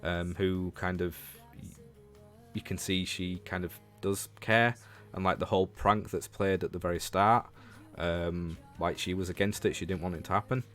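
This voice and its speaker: A man's voice: deep voice, steady tone, moderate pace, a bit bright, faint music behind. A man from Leeds with a Northern English accent, chatting casually about games as a livestream host and talking to viewers. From Leeds, England.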